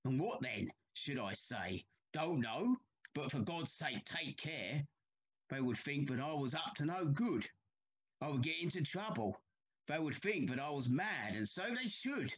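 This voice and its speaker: An actor playing an anxious, haunted older railway signalman in a Victorian ghost story, troubled and halting, with formal old-fashioned speech. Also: a little high, expressive, breathy edge. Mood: despairing, pleading.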